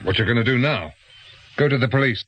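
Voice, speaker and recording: A man's voice: mid-range voice, fast, fairly bright tone, close to an everyday voice, rough recording. An actor playing a blunt, probing ex-policeman in a radio drama, with terse questions and plain colloquial speech. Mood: accusing. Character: blunt, probing ex-policeman turned amateur investigator. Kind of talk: naturalistic radio drama acting.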